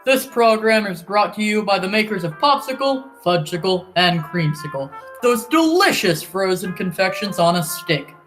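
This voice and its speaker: A woman's voice: medium pitch, expressive, normal speed, few pauses, a bit bright, soft background music, natural voice, poor audio. Showy old-time radio announcer played by a woman. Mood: enthusiastic, inviting. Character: enthusiastic old-time radio announcer introducing a space serial.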